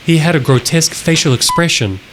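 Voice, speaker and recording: A man's voice: on the high side, lively intonation, a little fast, background noise. A man reading text aloud and adding casual, wry commentary as a horror reaction host. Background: music behind the voice.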